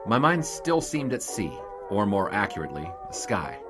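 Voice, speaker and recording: A man's voice: fairly low pitch, big pitch swings, normal speed, over music. A man playing a dry, wisecracking 1920s newspaper sports writer in an audio drama, in both dialogue and narration. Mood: reflective.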